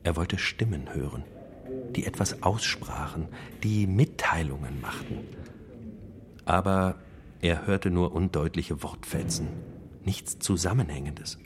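A man narrating a literary radio play in an expressive, deliberate, theatrical manner. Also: low voice, noisy background.

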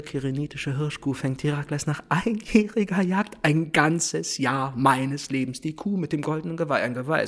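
A man's voice: mid-range voice, fairly bright tone. A man acting as an impassioned tragic chorus narrator in a theatrical radio play, declaiming myth with obsessive repetitions. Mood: obsessive, strained. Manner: declamatory, repeats phrases obsessively, shifts between whisper and cry. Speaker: actor narrating and reciting. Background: music.

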